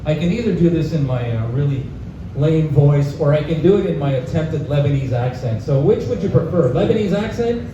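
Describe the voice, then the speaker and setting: A man's voice: fairly high pitch, animated, brisk. A young Canadian man doing a live stand-up set, casual and full of "uh" fillers, chuckling at his own jokes. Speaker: stand-up comedian. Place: pub comedy room, live audience. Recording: echoey bar room, distant mic, dull sound, noisy.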